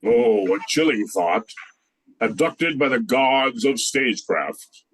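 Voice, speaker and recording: An older man playing a pompous, solemn narrator with a deep, authoritative voice in an amateur radio comedy. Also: animated, takes it slowly, a bit bright. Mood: grandly solemn. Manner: pompous, theatrical.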